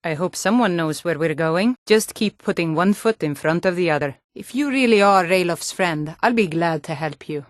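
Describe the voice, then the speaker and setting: A proud, hardy Nord warrior woman from a fantasy video game, played by an actress. Her voice sits on the low side and is recorded dry and close. She speaks firmly and plainly, with an earnest air. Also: lively intonation, moderate pace, few pauses, dry recording, close to an everyday voice. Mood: wry, wary.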